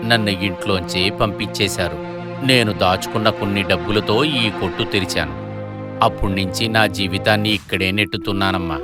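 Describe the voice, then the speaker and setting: A man voicing a kind elderly eatery owner in an animated moral story, speaking in a gentle, plain, fatherly manner. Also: low-pitched, normal speed, over loud music, close to an everyday voice. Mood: reflective, welcoming.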